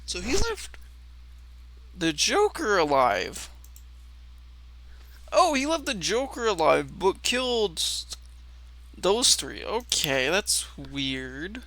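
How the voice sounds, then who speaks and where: A man's voice: big pitch swings, slow pace, long pauses, dry recording. A man hosting a casual reaction video, reading aloud and commenting, often stumbling over words, repeating phrases and swearing. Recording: clearly noisy, loud background rumble.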